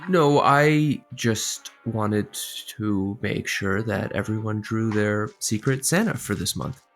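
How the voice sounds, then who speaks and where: A man playing an officious, oblivious radio station boss with an awkward, drawn-out delivery in an audio comedy. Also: fairly low pitch, faint music behind. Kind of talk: comedic radio drama acting.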